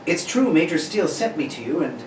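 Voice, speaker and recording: A man's voice: a little high, big pitch swings, fairly quick. A man playing a courteous, formal, heroic captain in an amateur read of an old radio serial. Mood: courteous, earnest. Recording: roomy, distant mic, faint noise.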